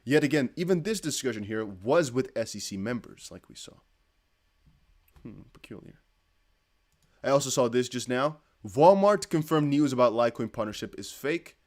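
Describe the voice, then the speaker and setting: Chatty Dutch-native man with lively pitch. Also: normal speed. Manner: rambling, self-correcting, chatty. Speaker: crypto livestream host. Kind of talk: casual livestream chat, reading viewer comments.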